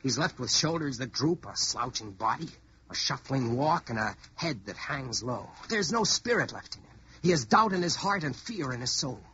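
An actor playing an earnest, commanding modern Yankee with an American accent in an old-time radio drama. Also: male voice, mid-range voice, big pitch swings, moderate pace, pushed and bright, slightly rough, exaggerated voice. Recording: dull, slightly noisy old radio recording.

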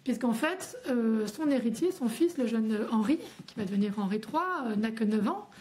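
A woman's voice: a little high, expressive, normal speed, a little mellow. A woman historian explaining the period at length, with frequent hesitations and restarts. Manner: hesitant, many fillers and restarts. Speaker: historian guest. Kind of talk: academic explanation.

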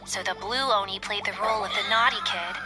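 An actress narrating a folk tale for a video game, measured and expressive in a storybook style. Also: female voice, mid-range voice, lightly acted. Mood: wistful, measured. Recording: loud music under the voice.

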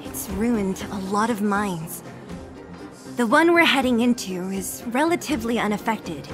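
A woman's voice: medium pitch, expressive, a little slow, natural voice. An actress playing a tough, blunt, matter-of-fact young woman fighter in a scripted video game. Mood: serious, explaining. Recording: background music under the voice.

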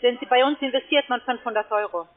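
High-voiced woman on a tinny phone line. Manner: hesitant, halting, persuading. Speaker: call centre trading salesperson. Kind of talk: unscripted sales phone call. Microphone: telephone.

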